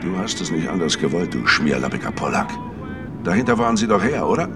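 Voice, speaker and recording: A man's voice: low-pitched, very animated, fast, loud music behind the voice. An actor playing a tough, defiant hitman in a radio crime drama, blunt and confrontational. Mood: defiant, threatening. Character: tough, defiant male hitman blackmailing his boss by phone. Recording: distant voice amid loud outdoor traffic noise.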